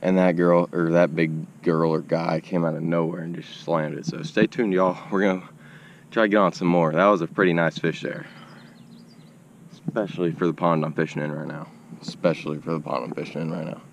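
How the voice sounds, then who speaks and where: A young man, excitedly narrating a fishing trip to camera beside an outdoor pond, filmed on an action camera. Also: low voice, a little fast, vocal fry, lo-fi sound, slight background noise. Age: young adult. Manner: excitable, slangy, addresses viewers as y'all. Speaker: fishing vlogger. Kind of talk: casual self-filmed narration. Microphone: action camera on chest and at arm's length.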